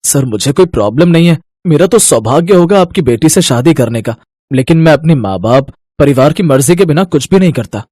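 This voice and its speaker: Guy doing a eager respectful young son in a storybook tale, bit high pitched, very dry. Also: lively intonation, a little fast, warm tone, no room sound.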